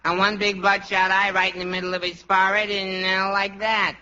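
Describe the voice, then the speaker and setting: An actor playing a flippant, slangy, streetwise knave in a 1950s radio comedy, offhand and a bit defensive. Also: male voice, sits high, level tone, fairly quick, natural voice. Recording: muffled, very noisy old radio recording.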